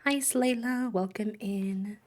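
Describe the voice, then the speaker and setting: A woman's voice: mid-range voice, slow, a little mellow. An American woman chatting casually on a game stream in a rambling, self-deprecating way. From United States. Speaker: live streamer.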